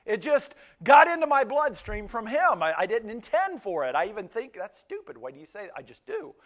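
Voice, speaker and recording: A man's voice: sits high, very animated, a little fast, a bit bright. A man in his fifties whose first language was Pennsylvania Dutch, preaching a sermon in a conversational, storytelling way with frequent restarts and repeated words. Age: 50s. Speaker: pastor.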